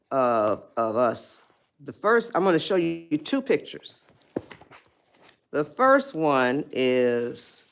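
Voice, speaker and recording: An elderly woman in her eighties talks to her live stream audience at an unhurried pace. She calls her viewers y'all, laughs easily and circles back when she loses her thread. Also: low-pitched. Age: 80s.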